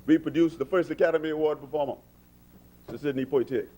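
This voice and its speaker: A man's voice: fairly high pitch, steady tone, fast talker, background noise. A man from the Bahamas with a Bahamian accent, speaking as a minister in parliament, earnest and oratorical, with frequent restarts. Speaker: government minister addressing parliament. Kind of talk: parliamentary speech. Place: legislative chamber.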